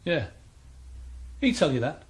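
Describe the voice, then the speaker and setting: Calm, threatening crime boss; understated radio-play menace. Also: male voice, a little high, measured pace. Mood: menacing. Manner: menacing, matter-of-fact. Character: cold, menacing middle-aged male crime boss. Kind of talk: radio drama acting.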